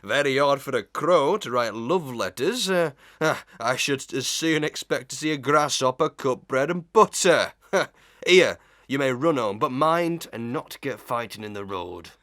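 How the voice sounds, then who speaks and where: A blustering, pompous old village schoolmaster in Victorian melodrama. His bright, forceful voice swoops widely in pitch and takes its time, with theatrical hems and drawn-out words. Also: animated. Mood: sententious. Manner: theatrical, hemming, drawn-out, full of stock sayings. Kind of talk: Victorian melodrama audio acting.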